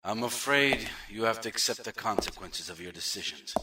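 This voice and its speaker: A man's voice: lowish voice, expressive, normal speed, roomy sound, recorded from a distance, heavy background noise, lightly acted. An actor playing a coldly polite, threatening male general holding a prisoner. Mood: smooth menace. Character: menacing male general, smooth and patronizing.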